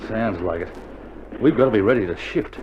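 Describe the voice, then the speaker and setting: An actor playing a sceptical, wary Australian gunner in an army hospital, with a dry manner, in a war radio drama. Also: male voice, fairly low pitch, fairly quick, lo-fi sound, lightly acted. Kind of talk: 1960s radio drama acting. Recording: old vinyl drama, loud artillery noise.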